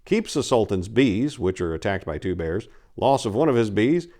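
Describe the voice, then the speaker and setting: Male host and story reader, lowish register, highly varied intonation, courtly and theatrical presentation. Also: very animated, moderate pace, mellow. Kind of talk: scripted channel introduction, theatrical narration.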